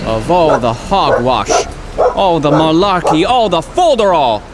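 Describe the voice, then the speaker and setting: An actor playing an earnest, lovelorn poet hero in a comic drama, florid and melodramatic. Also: male voice, on the high side, lively intonation, measured pace, heavy background noise. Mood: astonished.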